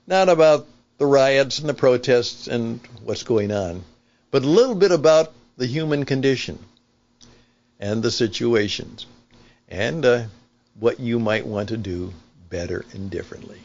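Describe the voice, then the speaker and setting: An older man hosting a talk show with a conversational opinion monologue, speaking in an emphatic, deliberate, explanatory way. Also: mid-range voice, a little mellow, muffled recording. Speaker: podcast host and veteran broadcaster.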